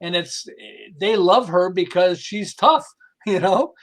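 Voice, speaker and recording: A man with a high-pitched voice speaks as an expert guest in a podcast conversation. He explains through anecdotes in an animated but halting way, breaking off and picking up his sentences again. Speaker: podcast guest, behavioral management specialist.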